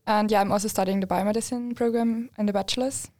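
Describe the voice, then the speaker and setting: A woman's voice: mid-range voice, fairly even intonation, fast, a little mellow, no room sound, studio quality. A young woman from Austria, an exchange student, chatting casually on a podcast with many fillers. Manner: casual, uses "like" often.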